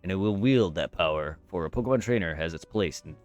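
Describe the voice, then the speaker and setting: A man voicing a towering ancient man, speaking gravely and formally in a lowered voice. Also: animated, no room sound, faint music behind. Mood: solemn. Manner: grave, slow, formal delivery.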